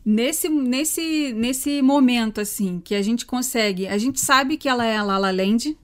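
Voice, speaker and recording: A woman's voice: a little high, unhurried. A woman co-hosting a casual film chat, chatty and often searching for words. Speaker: podcast co-host.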